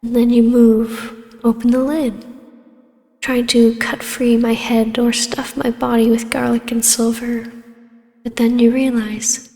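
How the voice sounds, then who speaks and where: A woman voicing a taunting, imperious vampire baroness in a scripted ASMR roleplay, speaking in a measured, hushed voice that slips into whispers. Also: a little high, level tone, dry recording, studio quality. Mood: taunting, predatory. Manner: slow, hushed, breathy delivery slipping into whispers. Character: vampire baroness, ancient huntress turned ruler, taunting, imperious, regal.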